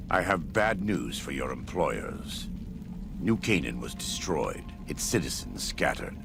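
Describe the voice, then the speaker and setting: A man playing a solemn, devout war leader in a video game, gravelly, measured and gravely courteous. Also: very deep voice, heavily acted. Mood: somber. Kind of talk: video game character dialogue.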